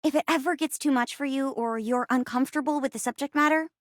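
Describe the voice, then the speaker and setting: An actress voicing a theatrical, excitable female video game character with a melodramatic, bubbly stage-show delivery. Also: high-pitched, fast talker, no room sound, clean studio sound, natural voice. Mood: playful, bubbly.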